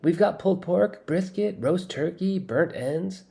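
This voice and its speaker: A man's voice: high voice, lively intonation, unhurried, creaky, warm tone, voice pushed up, exaggerated voice. A man voicing a smug, breezy teenage boy who likes breaking rules, in an audiobook story. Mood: breezy, inviting. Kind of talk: acted audiobook dialogue.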